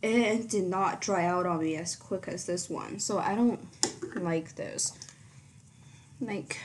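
A teenage girl chatting casually through her makeup routine in a small bedroom, rambling with lots of ums and likes, her voice fairly low for her age. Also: takes it slowly, slight background noise. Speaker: beauty vlogger. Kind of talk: casual makeup tutorial chat.

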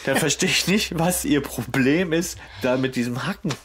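A man's voice: fairly high pitch, normal speed, background noise. A man co-hosting a podcast in casual banter, talking colloquially with crude humour. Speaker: podcast co-host. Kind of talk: casual banter about an audio drama series.

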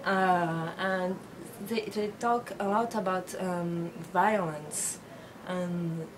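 Slow, hesitant woman in her late twenties. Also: on the low side, steady tone. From born in France, Brazilian-Belgian parents. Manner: thoughtful, hesitant with many fillers. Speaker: interviewee. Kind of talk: casual interview answers. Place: bedroom with bookshelves, indoors. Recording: clear background noise.

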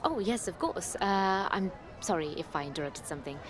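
A woman's voice: mid-range voice, expressive, moderate pace, faint music behind, lightly acted. An actress playing a polite, enigmatic woman who is new to a village, in a radio soap. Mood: polite, knowing. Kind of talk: naturalistic radio soap acting.

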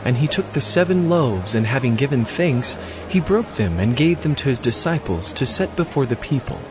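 A man's voice: medium pitch, very animated, moderate pace, background noise. A man narrating a dramatized scripture reading as a scripted narrator.

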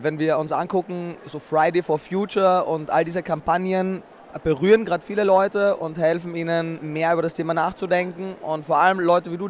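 A man's voice: fairly high pitch, slight background noise. A young adult man from Austria, answering interview questions fluently at an indoor expo booth into a handheld mic, often chuckling before he answers. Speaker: interview guest, nutrition expert.

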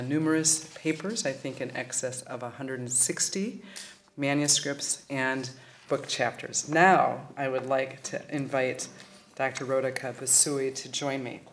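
A middle-aged woman giving a formal introduction speech at a podium, pausing often with ums and uhs. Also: very deep voice, slight background noise. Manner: halting, many ums and uhs. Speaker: presenter introducing an award recipient. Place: wood-panelled lecture hall. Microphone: podium microphone.

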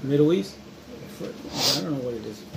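A man's voice: mid-range voice, expressive, normal speed, vocal fry, crowd noise. A middle-aged man chatting casually as he answers quiz questions at a table at home, picked up by a camera a little way off. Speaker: quiz contestant, the dad. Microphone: camera a short distance away. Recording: noisy homemade video, camera microphone.

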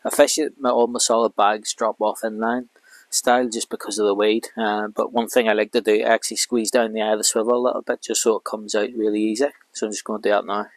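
Man in his thirties, lakeside fishing vlog, lowish voice, dry close clip-on sound, relaxed step-by-step talk. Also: fast, no room sound. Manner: relaxed, explains each step while working. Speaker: carp angler vlogger. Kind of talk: casual how-to commentary. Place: outdoors by a lake.

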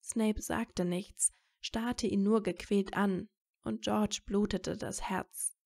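Female narrator, mid-range pitch, scripted storytelling for audio fiction. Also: steady tone, slow pace, creaky, darkish tone.

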